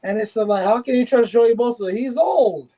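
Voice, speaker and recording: A man in his late twenties co-hosts a casual sports chat with a notably high voice. He talks excitably, swears freely and laughs often. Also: normal speed. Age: 20s. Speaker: podcast co-host.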